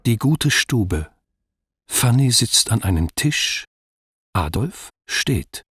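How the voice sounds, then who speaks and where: A man announcing the cast and reading stage directions for a radio play, slow and formal. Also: medium pitch, big pitch swings, a bit bright, slightly rough, dry recording, clean studio sound.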